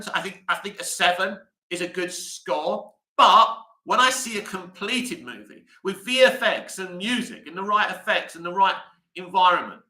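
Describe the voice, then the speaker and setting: Male streamer host, tense bright tone, slightly raised pitch, reads and answers chat, roomy echo. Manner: chatty, reads comments aloud and answers them. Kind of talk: live-stream chat answering viewer comments.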